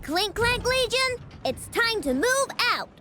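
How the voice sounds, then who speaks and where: A woman's voice: very high-pitched, very animated, slow, noisy background, heavily acted. A woman voicing a young, stubborn, hot-headed girl inventor in a video game, with a commanding, declamatory manner. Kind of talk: video game cutscene acting.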